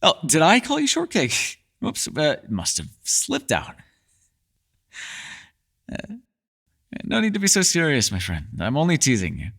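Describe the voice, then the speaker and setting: Man voicing a theatrical, secretive elf with lively intonation. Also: a little high, unhurried, creaky, pauses often, heavily acted. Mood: playful. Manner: theatrical, playful, slightly formal phrasing. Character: mysterious male elf, erudite, teasing, secretive. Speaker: voice actor in an ASMR roleplay. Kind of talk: fantasy ASMR roleplay acting.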